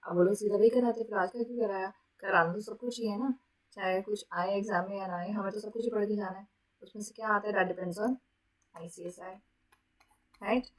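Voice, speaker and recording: A woman's voice: medium pitch, fairly even intonation, normal speed, poor audio. A woman with an Indian accent lecturing to students as a teacher, switching between two languages with frequent check-in tags, speaking with a sore throat. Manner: mixes Hindi and English, frequent check-in tags. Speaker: teacher of an online exam-prep class.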